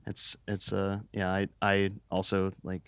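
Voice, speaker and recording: A man's voice: low-pitched, completely flat delivery, measured pace, gravelly, fairly bright tone, smooth, no room sound. A young American man chatting casually as an interviewed band musician, joking, rambling and swearing. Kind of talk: casual chat.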